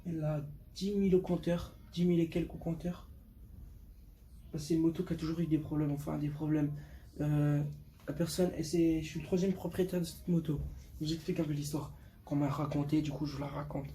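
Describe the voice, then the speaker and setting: A man's voice: a little high, fairly even intonation, fairly quick, dark tone. A fifteen-year-old boy from Portugal chatting casually to viewers in a vlog, slangy and repeating words for emphasis. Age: 15, teenager. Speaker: vlogger showing motorbike. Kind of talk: casual unscripted vlog talk. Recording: clear background noise.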